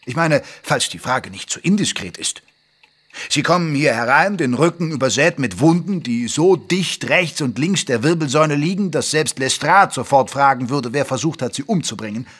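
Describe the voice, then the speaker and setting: A man playing an ageing Victorian doctor, a loyal, wry companion who tells the story in a measured, literate way in an audio drama. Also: medium pitch, animated, a bit bright, breathy edge. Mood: concerned, insistent. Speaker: narrator and character in an audio drama. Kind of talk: German audio drama narration and dialogue.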